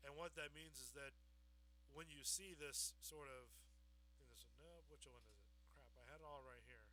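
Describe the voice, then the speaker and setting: A man's voice: mid-range voice, moderate pace, pushed and bright, slight background noise, low-quality recording. A man hosting a casual talk show, rambling and hesitant with lots of "uh" and "sort of". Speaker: host.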